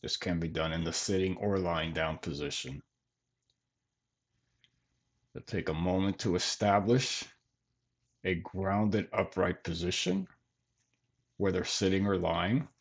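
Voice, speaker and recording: A man leading a guided body scan meditation in gentle, measured phrases with long pauses between them. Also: low-pitched, vocal fry, slightly rough. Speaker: meditation teacher.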